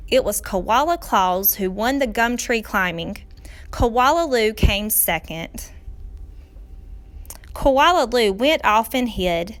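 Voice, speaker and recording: Lady reading a kids story out loud, expressive and dramatic, voice goes up and down a lot, on the high side. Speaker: teacher reading aloud. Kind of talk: expressive children's storybook narration.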